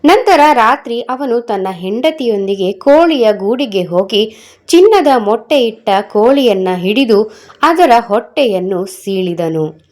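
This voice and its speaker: A woman narrating a scripted moral story for children in a measured, expressive storytelling manner. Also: fairly high pitch.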